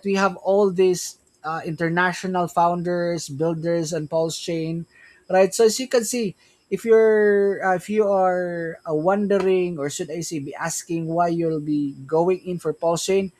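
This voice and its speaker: A young man with a Filipino accent, a Tagalog speaker, hosting a casual crypto livestream, rambling with many restarts and tag questions. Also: high-pitched. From Philippines. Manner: rambling, repeats "right?" and "yeah, man", frequent restarts. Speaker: crypto stream host.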